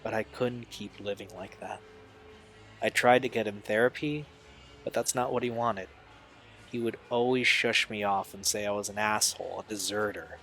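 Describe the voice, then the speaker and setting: Low-pitched, earnest, troubled young man, acted by a man. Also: steady tone, moderate pace, fairly bright tone, soft background music. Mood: defensive, pained. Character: Steve, troubled young man recalling a painful family past. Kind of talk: audio drama acting.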